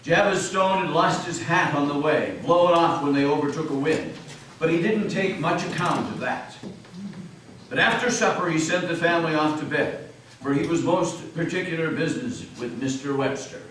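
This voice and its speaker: A man's voice: fairly high pitch, moderate pace, a bit bright. A man narrating a scripted tale as a dramatic reading in a meeting house. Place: large echoey meeting house indoors. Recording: echoey hall, distant mic, noisy.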